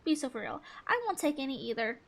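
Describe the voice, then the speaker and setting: A woman hosting a gaming video with casual, chatty commentary, thinking out loud as she plays. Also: sits high, big pitch swings, fast talker.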